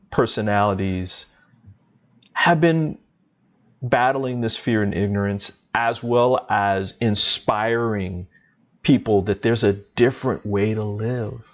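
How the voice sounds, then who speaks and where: Middle-aged host guy, really slow and thoughtful, lowish voice, dull lo-fi call audio. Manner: slow, grateful, reflective. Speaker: talk show host. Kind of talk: casual panel chat. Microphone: video call.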